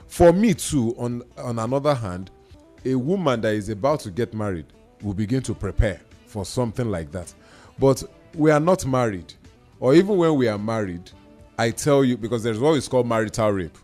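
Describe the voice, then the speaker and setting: A man with a Nigerian accent speaking as a panelist in a radio discussion, measured and emphatic in his phrasing. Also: mid-range voice, lively intonation, darkish tone, faint music behind. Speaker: drama producer and activist.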